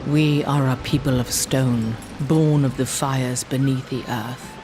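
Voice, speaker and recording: Guy playing an old solemn shaman in a fantasy game, slow n sorrowful, music under it. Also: medium pitch, steady tone, natural voice. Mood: sombre, reflective. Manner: solemn, measured. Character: ancient, sorrowful shaman of a stone people. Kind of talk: video game cutscene acting. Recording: clear music behind the voice. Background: game score.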